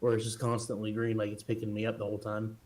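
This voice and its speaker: A man's voice: lowish voice, monotone, fast, darkish tone. A man talking casually as a player in a tabletop game session. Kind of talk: casual chat.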